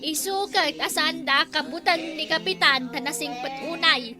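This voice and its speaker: A woman's voice: high-pitched, normal speed, over music, natural voice. A woman playing a bossy complainer who goads others, in an Ilocano comedy skit. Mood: indignant. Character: bossy complaining woman goading a meek captain.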